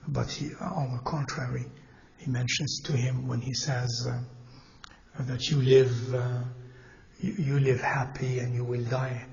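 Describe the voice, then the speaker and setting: A man teaching a congregation in a mosque, speaking in a measured, halting way with pauses and self-corrections, and putting questions to his listeners. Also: mid-range voice, little pitch movement, vocal fry, a little mellow, dull audio. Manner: asks the audience questions as he teaches. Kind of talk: Islamic lecture to a congregation. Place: mosque hall.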